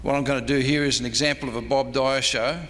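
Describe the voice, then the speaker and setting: Male lecturer, crisp bright tone, medium pitch, speaking in a reverberant hall. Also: fairly even intonation, fast. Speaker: historian giving a talk. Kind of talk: prepared lecture. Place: convention presentation room.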